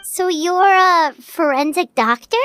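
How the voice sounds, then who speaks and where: A woman voicing a small, excitable, curious fairy girl companion in an anime video game, with a childlike, squeaky voice. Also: slow pace, faint music behind, exaggerated voice. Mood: excited curiosity. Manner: exclaiming, inquisitive.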